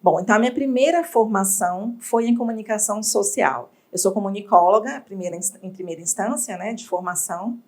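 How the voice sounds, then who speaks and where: A woman from Bahia, an entrepreneur telling her story in an interview, reflective and expressive. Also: medium pitch, a little fast, few pauses. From Bahia, Brazil. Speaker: entrepreneur guest.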